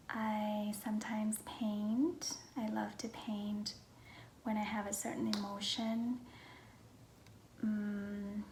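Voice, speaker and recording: Slow, soft-spoken young Vietnamese-accented woman. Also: medium pitch, vocal fry. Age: young adult. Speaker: vlogger. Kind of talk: casual personal Q&A to viewers. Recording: roomy echo, faint background noise.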